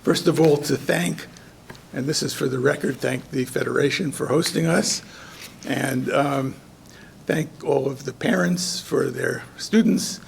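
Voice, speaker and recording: A hoarse man organizing a contest gives halting welcome remarks at a ceremony, with many ums and restarts. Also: fairly high pitch, slight background noise. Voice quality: gravelly. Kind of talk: informal ceremony speech. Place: community event hall.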